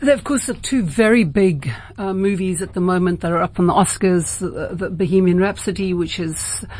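A woman's voice: on the low side, normal speed, a little mellow. A woman with a South African accent hosting a late-night radio interview in a conversational, rambling way, often circling back to rephrase.

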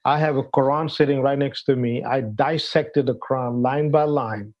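Older Pakistani-born man, interview guest, muffled lo-fi sound, halting delivery. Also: medium pitch, fairly even intonation. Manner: halting, many fillers, non-native phrasing. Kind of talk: telling his life story in an interview. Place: at home, in a room. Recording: dull lo-fi sound.

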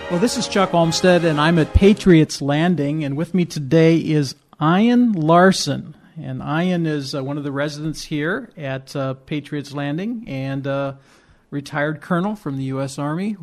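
A male podcast host leads the interview in an easygoing way. His voice sits a little high for a man. He asks open questions in a relaxed, friendly flow. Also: unhurried, soft background music. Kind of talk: conversational interview.

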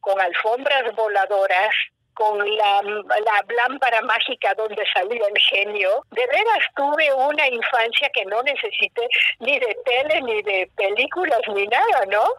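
Older lady from guatemala, bright higher voice, dry sound, chatty and playful. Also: a little slow, dry recording, poor audio. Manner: warm storytelling, animated, playful. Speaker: guest, children's writer. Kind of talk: casual interview.

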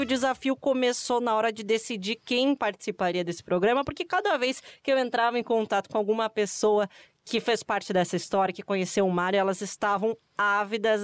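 A woman hosting a podcast, narrating from a script and warmly welcoming her guests like a clear presenter. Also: on the high side, no echo, studio quality. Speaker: podcast host.

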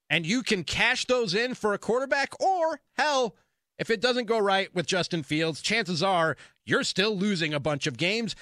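Sports talk host, male, high-pitched and punchy, emphatic and opinionated, dry studio mic. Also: pushed and bright, no room sound. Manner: emphatic, insistent, repeating phrases for stress. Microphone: close broadcast studio mic.

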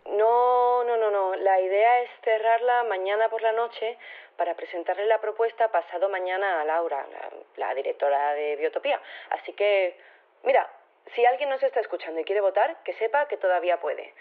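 Over a narrow phone line comes an earnest woman campaigner in a radio drama. She speaks at a medium pitch, conversational and concerned, like an interviewee making a case. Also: normal speed. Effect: phone filter.

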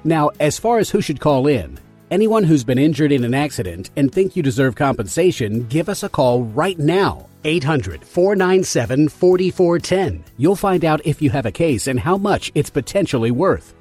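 A man acting as a spokesman in a scripted radio ad, with a pitchman's delivery. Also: very animated, normal speed, darkish tone, slightly rough, soft background music.

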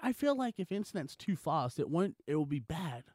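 Male Australian podcast co-host, slightly high pitch, dry sound, loose comedic banter. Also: big pitch swings, brisk, a little mellow, no room sound. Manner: jokey, exaggerated mimicry and riffing. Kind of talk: casual comedic chat.

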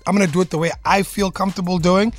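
A man from South Africa hosting a radio show in the studio, hyped and quick to affirm his guest. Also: sits high, steady tone, no room sound. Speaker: radio host.

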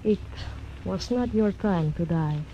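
A woman's voice: fairly low pitch, animated, slow pace, warm tone, lo-fi sound, a little hiss or hum. A mysterious Mexican woman, Spanish accent, fatalistic and teasing, old-time radio. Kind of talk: 1940s radio acting.

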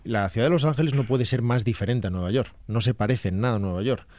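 A man, giving detailed, measured film commentary on a radio chat show. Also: medium pitch, a little mellow.

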